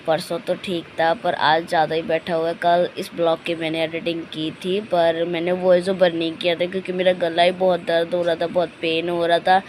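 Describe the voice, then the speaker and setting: A young woman with a hoarse, sore-throated voice, chatting casually in a vlog voice-over and speaking straight to her viewers. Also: on the low side, little pitch movement, moderate pace, hardly any pauses, darkish tone, slight background noise, rough recording. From Ludhiana, India. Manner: chatty, addresses viewers directly. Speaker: family vlogger.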